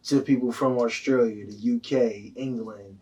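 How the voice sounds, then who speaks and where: A man's voice: medium pitch, moderate pace, husky, far from the mic. Young Philly man telling a wild story. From Delaware County, Pennsylvania. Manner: animated, heavy slang, lots of fillers. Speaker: guest. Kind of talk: casual storytelling.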